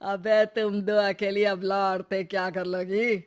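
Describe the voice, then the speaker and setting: A woman's voice: mid-range voice, level tone, slow, natural voice. A woman voicing a brash male house thief in a cartoon, with a taunting, mocking manner. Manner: taunting, mocking, then whimpering in pain. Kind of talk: cartoon voice acting.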